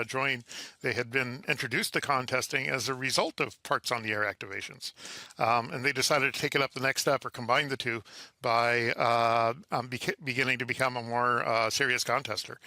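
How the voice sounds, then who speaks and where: Bright-voiced man, halting storyteller. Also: medium pitch, fairly even intonation, no room sound. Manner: hesitant, halting, thinking aloud. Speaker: interview guest, amateur radio contester. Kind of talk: informal interview storytelling.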